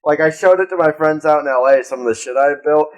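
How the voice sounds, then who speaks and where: A man's voice: on the high side, fast, poor audio. A young man co-hosting a podcast, chatting casually in a laid-back, rambling way with lots of swearing. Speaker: podcast co-host. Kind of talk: casual chat.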